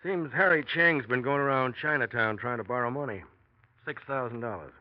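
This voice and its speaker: Terse American male actor as radio detective, medium pitch, casual probing, muffled vintage recording. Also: moderate pace, natural voice. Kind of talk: 1940s radio acting.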